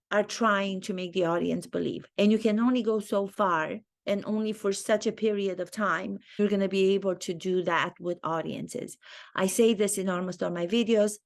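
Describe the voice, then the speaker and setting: A woman's voice: medium pitch, creaky. A woman with a Romanian accent, an acting teacher thinking aloud and commenting to camera in her own video. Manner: thinks aloud, earnest and reflective. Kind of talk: talking-head commentary video.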